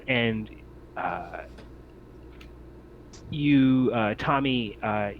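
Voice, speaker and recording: A man improvising descriptive narration as game master of a tabletop game, in a halting way. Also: lowish voice. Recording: dull audio with faint noise.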